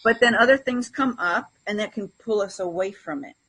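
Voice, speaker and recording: Husky-voiced woman explaining casually. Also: medium pitch, moderate pace, fairly bright tone, low-quality recording. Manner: encouraging, often seeks agreement with tag questions. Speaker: podcast co-host, spiritual energy coach.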